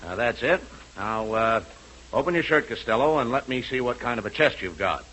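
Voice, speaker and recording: An actor playing a gruff, bossy navy examiner with brusque delivery in a 1940s radio comedy. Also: male voice, medium pitch, animated, normal speed, pushed and bright. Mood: businesslike. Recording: noisy old 1940s radio broadcast.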